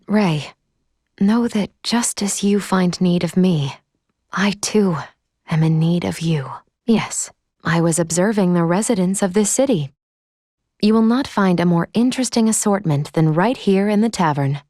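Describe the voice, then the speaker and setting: An actress playing a calm, analytical android companion in a video game, gently caring, with formal, precise diction. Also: female voice, on the low side, dry recording, studio quality. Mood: tender, reflective. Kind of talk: video game character dialogue.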